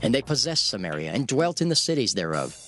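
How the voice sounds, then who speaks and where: A man giving solemn, emphatic, measured dramatic narration of scripture. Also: medium pitch, very animated, a little hiss or hum. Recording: loud music under the narration.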